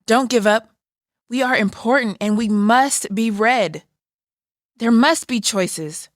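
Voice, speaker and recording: A woman's voice: a little high, slow pace, no room sound, clean studio sound. A woman playing a dignified, resolute book puppet in a musical show, with a firm, declarative delivery.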